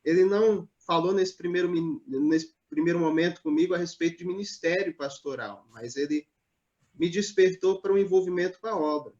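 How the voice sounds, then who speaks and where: A man from Northeast Brazil, a pastor, telling his life story in a live online interview in a measured, hesitant way, with many pauses and fillers. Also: high voice, darkish tone, highs cut off. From Natal, Northeast Brazil.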